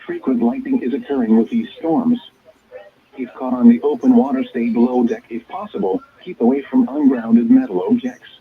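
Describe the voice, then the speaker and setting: A man's voice: medium pitch, huge pitch swings, normal speed, gravelly, a crowd in the background. A man's synthetic, stilted voice reading scripted weather alerts formally as a radio announcer, in choppy phrases. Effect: weather radio broadcast. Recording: tinny, narrow-band weather radio signal.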